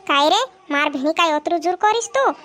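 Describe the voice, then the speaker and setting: A very high, piercingly pitched village mother from a cartoon comedy , acted by a woman. She nags and chides her son like someone set on getting him married. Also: animated, quick pace, a bit bright. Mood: nagging. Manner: nagging, chiding.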